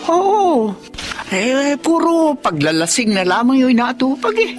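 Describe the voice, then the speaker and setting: A woman's voice: high voice, big pitch swings, a little slow. An actress playing a worried, caring older Filipino mother who scolds and pleads in a radio drama. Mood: chatty, regretful. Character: worried elderly mother, nagging but caring. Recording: music under the voice.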